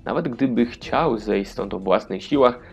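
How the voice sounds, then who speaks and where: A man's voice: mid-range voice, measured pace, vocal fry, a little mellow, dull audio, faint music behind. A man narrating a scripted fairy tale for children as the storyteller of an audio play.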